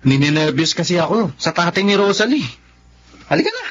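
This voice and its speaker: A young Filipino working man in a radio drama. His voice sits a little high and sounds earnest. The audio is slightly dull with faint noise. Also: big pitch swings, brisk, a bit bright. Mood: easygoing. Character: frustrated young working man, devoted boyfriend.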